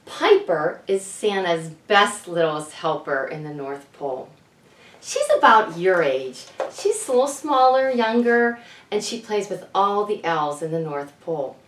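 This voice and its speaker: A woman's voice: on the low side, sing-song, measured pace, slight background noise. A woman presenting a children's storytime in a teacherly way, putting questions to young listeners. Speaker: children's author presenting at a library story event. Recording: roomy echo, distant microphone.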